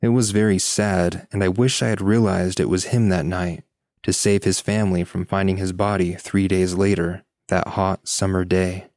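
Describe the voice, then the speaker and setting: A man's voice: sits low, level tone, normal speed, a little mellow, no echo, studio quality. A man co-hosting a podcast, reading listener stories and chatting casually. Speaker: podcast co-host.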